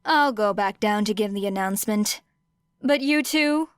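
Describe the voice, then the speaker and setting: A woman's voice: mid-range voice, moderate pace, clean tone, no echo, clean studio sound, close to an everyday voice. A woman voicing a stern, exasperated female referee with a blunt, firm manner in an audiobook story.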